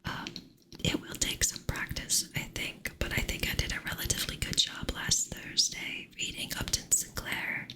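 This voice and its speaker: A woman whispering softly in a playful way as she chats with her live-stream audience. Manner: soft whisper, playful with chat; slow, drawn-out delivery. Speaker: ASMR streamer.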